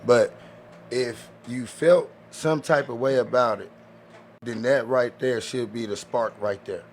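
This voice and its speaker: A man's voice: medium pitch, a little slow, darkish tone, a little hiss or hum. A man chatting casually as a podcast panelist, making his points emphatically and repeating words to press them. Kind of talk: casual chat.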